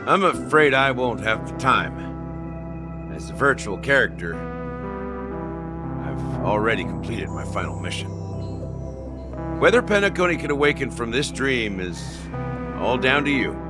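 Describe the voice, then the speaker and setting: A man's voice: medium pitch, sing-song, unhurried, pauses often, over loud music. An actor playing a laid-back, world-weary man who talks in a dry, easygoing drawl in a game. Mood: wry.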